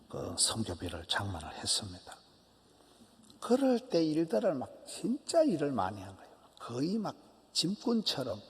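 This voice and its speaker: A man in his sixties with a Gyeongsang accent, a pastor giving an early-morning sermon in punchy repeated phrases that sometimes drop to a whisper. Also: medium pitch. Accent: Gyeongsang Korean. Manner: animated, emphatic preaching with short repeated phrases and drops to a whisper. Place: church sanctuary. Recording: church hall reverb.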